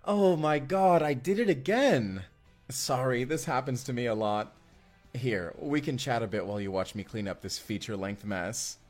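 A man's voice: mid-range voice, moderate pace, soft background music, close to an everyday voice. A man acting as a devoted, sweetly awkward young romantic in an immersive audio roleplay, speaking in an earnest, hesitant, conversational way. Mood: flustered, friendly.

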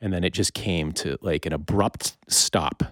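A man hosting a casual interview, asking questions in a conversational, somewhat halting way. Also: low-pitched, fairly bright tone, no room sound, clean studio sound. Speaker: interview host.

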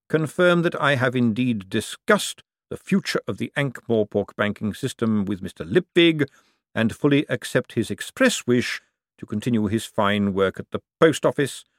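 A man voicing a cool, calculating older ruler who speaks dry, precise and deliberate in an audiobook. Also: mid-range voice, dry recording, pitched above the performer's own voice, natural voice.

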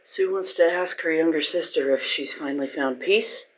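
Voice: medium pitch, normal speed, fairly bright tone. A person leading a group ritual as seance leader, recorded dull and distant in a roomy space. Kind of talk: guided ritual, incantation.

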